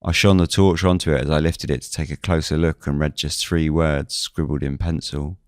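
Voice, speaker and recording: A man's voice: a bass voice, steady tone, moderate pace, no echo, studio quality. A man reading scripted first-person suspense narration as the narrator of a fiction podcast.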